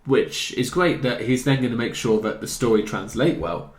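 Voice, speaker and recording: An echoey recording of a man in a casual podcast discussion. His pitch is average for a man, and his phrasing is informal and a little hesitant as he puts his opinions together. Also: lively intonation, normal speed. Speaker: podcast co-host. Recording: echoey room.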